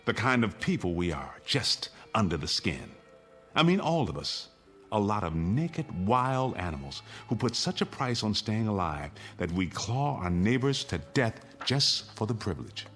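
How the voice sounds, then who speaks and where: A bitter, disillusioned family man in a radio drama. He is sober and reproachful, with a lowish voice that swings widely. Faint music sits behind him. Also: very animated, fairly bright tone. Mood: grave, weary. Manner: deliberate, pointed repetition.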